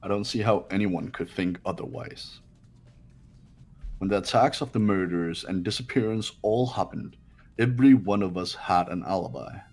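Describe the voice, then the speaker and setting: An aloof young man from a video game, acted by a man. He reasons formally and haughtily in a low voice. Soft music runs behind him. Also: moderate pace. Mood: aloof, logical. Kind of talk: dramatic game voice acting.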